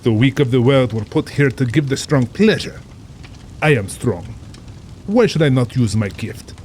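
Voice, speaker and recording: An actor playing an urbane, cold-blooded middle-aged general and big-game hunter, speaking formally with pompous, suave amusement in an audio drama. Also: male voice, medium pitch, animated, normal speed, a little mellow, a little hiss or hum, natural voice. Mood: cold, menacing.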